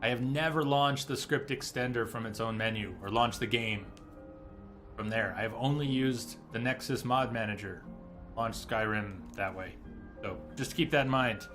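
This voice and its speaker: A man streams a video game with casual, chatty commentary. His voice sits at an average pitch as he explains things and reads out menus. Faint music plays behind him. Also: normal speed, fairly bright tone. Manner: chatty, reads menu text aloud while explaining. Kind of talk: casual live gameplay commentary.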